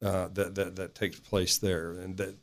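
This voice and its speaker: A man's voice: low-pitched, flat delivery, a little slow. A man speaking as a sheriff in an interview, emphatic and official in his wording. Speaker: county sheriff being interviewed. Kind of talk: interview response.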